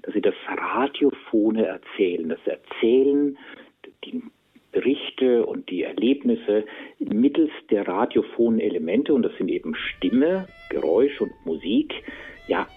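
Male academic expert, lowish husky voice, explanatory, thin telephone sound. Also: sing-song, unhurried, soft background music. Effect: telephone line. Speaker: media historian interviewed as expert. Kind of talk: explanatory phone interview. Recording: thin, muffled telephone line.

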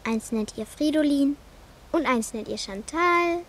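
A woman's voice: sits high, expressive, measured pace, a bit bright. A woman playing a small, curious and defiant daughter in a German children's radio play, blunt and full of questions.

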